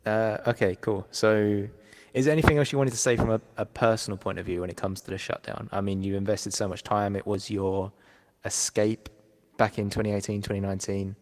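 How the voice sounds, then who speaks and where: A man's voice: lowish voice, fairly even intonation, fairly quick, darkish tone, big-room sound. A man interviewing a guest, easygoing, with lots of short murmured acknowledgements. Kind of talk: casual interview.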